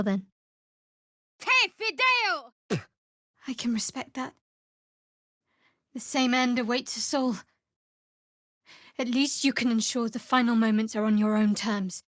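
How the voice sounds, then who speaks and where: A woman voicing a guarded, dry-witted young female cleric in a fantasy video game, measured and wry. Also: a little high, dry recording. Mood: casting, forceful.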